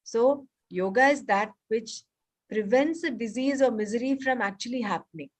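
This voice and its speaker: A woman leading a casual scripture study group over a video call, explaining terms patiently and reading passages slowly. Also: a little high. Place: home, remote meeting.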